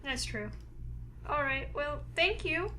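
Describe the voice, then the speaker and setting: Girl narrating a visual novel, high bright voice, acts out the main character's lines. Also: expressive, slow, a little hiss or hum. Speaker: game player and narrator. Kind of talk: reading a visual novel aloud, narration and heroine's lines acted.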